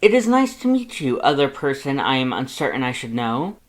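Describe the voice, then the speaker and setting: Casting: narrator as bombastic skeleton brother, high and loud, theatrical, formal, sugary and self-important. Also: very animated, a little fast, natural voice. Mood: grandly cheerful. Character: bombastic, vain, falsely sweet male skeleton brother.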